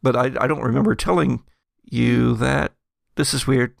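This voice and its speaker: A man's voice: mid-range voice, fairly even intonation, moderate pace, vocal fry, dry recording, studio quality. A man voicing a hesitant, puzzled young character in a tabletop roleplaying game, stammering and unsure. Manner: stammering, uncertain.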